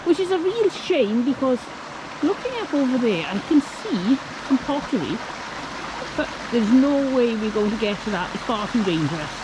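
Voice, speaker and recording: A woman muses aloud as she searches a stream bank, her pitch moving lively over a wide range. The rushing stream is clearly heard behind her, out in the open air. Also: background noise. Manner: curious, musing, asks viewers questions. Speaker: vlog co-host. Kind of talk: casual commentary while mudlarking. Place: outdoors by a woodland stream.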